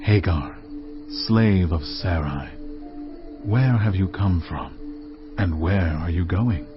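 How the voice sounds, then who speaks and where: An actor playing a calm angel of the Lord in a dramatized scripture reading, with measured, solemn authority. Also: male voice, deep voice. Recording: slightly dull audio, music behind. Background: background music.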